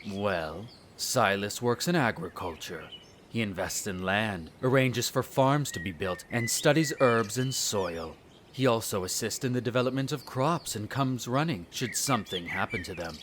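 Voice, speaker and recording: A man's voice: lowish voice, a little hiss or hum. A man playing a smug, menacing aristocratic vampire in a scripted audio roleplay, speaking formally with condescending disdain. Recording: birdsong and nature sounds behind. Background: birdsong, garden nature ambience.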